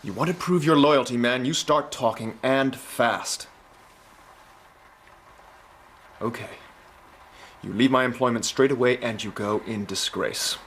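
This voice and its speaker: An actor playing a blunt, urgent young baronet who is both frightened and commanding in a radio drama. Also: male voice, mid-range voice, normal speed, fairly bright tone, slight background noise, close to an everyday voice. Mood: angry. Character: hot-headed young male baronet, frightened yet commanding.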